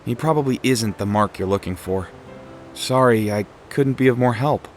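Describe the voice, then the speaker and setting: A man playing a proud, polite, expressive street sweeper in a video game. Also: mid-range voice, moderate pace, close to an everyday voice. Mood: apologetic. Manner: expressive, earnest. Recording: music under the voice.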